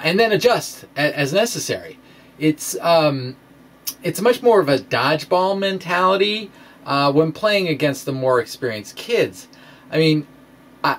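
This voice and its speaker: A man presenting his own science blog aloud in an informal, explanatory way, with a halting, theatrical, emphatic delivery. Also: on the high side, a bit bright. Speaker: science video blogger.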